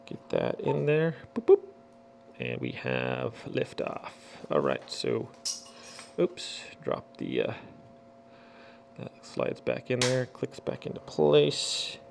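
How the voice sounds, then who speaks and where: A man with a gravelly, creaky voice and a medium pitch talks casually through a product unboxing. He has a folksy, muttering way of thinking aloud while he works. There is faint noise behind him. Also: huge pitch swings, takes it slowly. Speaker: unboxing video host.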